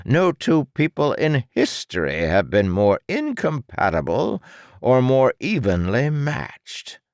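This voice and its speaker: A smug, mocking mad-scientist villain from a superhero audiobook, played by a male narrator. He stretches his words with theatrical condescension, swinging widely in pitch over a creaky low register. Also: huge pitch swings, no room sound, studio quality, heavily acted. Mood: gloating. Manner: theatrical, condescending, drawn-out delivery.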